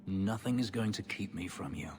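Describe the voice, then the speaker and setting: A man's voice: lowish voice, normal speed, long reverb tail, background noise, lightly acted. An actor playing a brooding, devoted male warrior in a video game, with a solemn, intense delivery. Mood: tender, intense. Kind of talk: video game cutscene acting.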